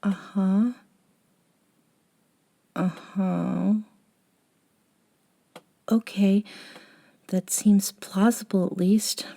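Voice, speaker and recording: A woman playing a gentle, eccentric young woman, a caring friend, in an intimate ASMR audio roleplay, soft-spoken with hesitant pauses. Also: medium pitch, fairly even intonation, creaky, full character voice. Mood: gently concerned.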